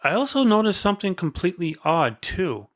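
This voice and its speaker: A man's voice: high-pitched, very animated, brisk. A man, a podcast guest, talking earnestly in a casual conversation, as if giving a short speech, with an occasional stutter. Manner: stutters at times.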